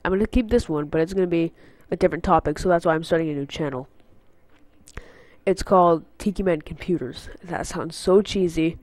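A man's voice: on the high side, a little fast, darkish tone, dry recording. Congested teen boy rambling at his computer. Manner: rambling, filler-heavy, goes on tangents. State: congested from a cold. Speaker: gaming channel host. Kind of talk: casual channel update. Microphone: unfamiliar headset mic.

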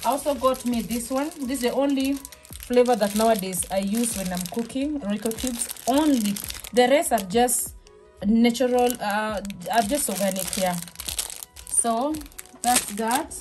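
Woman, 30s, slightly high voice, relaxed home vlogger chat, music bed clearly underneath. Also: measured pace. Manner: chatty, relaxed, restarts and fillers, soft laughs. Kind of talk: casual shop-with-me haul chat. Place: small home kitchen, indoors. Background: background music.

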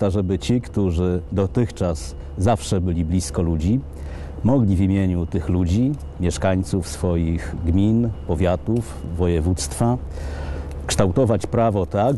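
A man's voice: deep voice, warm tone, a little hiss or hum. A man in his fifties, an election candidate, making a formal, earnest statement outdoors. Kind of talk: campaign interview statement. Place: outdoors in a castle courtyard.

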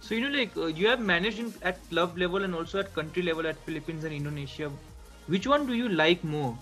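A man's voice: sits high, animated, quick pace, a bit bright, faint music behind. A young man from Nepal with a Nepali accent, casually asking questions as an interviewer on a video call from an indoor room. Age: young adult. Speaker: interviewer, show host. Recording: dull lo-fi video call audio.

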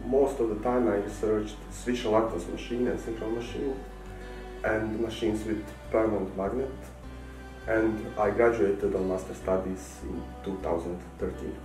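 Researcher, low voice. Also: male voice, fairly even intonation, moderate pace. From Bosnia and Herzegovina. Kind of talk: self-introduction. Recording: roomy distant sound with music behind.

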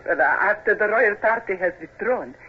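Coy young countess. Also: female voice, mid-range voice, lively intonation, moderate pace, breathy edge. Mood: inviting. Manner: genteel, coy. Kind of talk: 1950s radio drama acting. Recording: dull, noisy 1950s radio recording.

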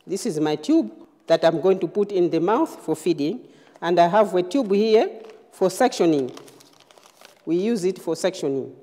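A woman nurse giving a hands-on clinical demonstration, explaining phrase by phrase in a halting way. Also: on the low side, dark tone. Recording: reverberant room, long echo.

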